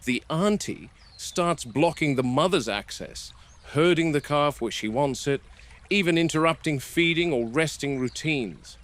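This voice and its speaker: A man playing a stern, cautious game warden, speaking in a measured, matter-of-fact way as in a scripted found-footage field log. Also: on the high side, lively intonation. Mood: measured, observant. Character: stern, cautious male game warden logging park animal observations.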